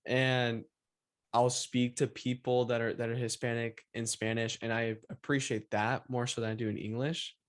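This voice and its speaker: Young man, mid pitch, casual host, lots of fillers. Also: moderate pace. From Venezuela. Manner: relaxed, filler-heavy with lots of "like". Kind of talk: casual interview chat.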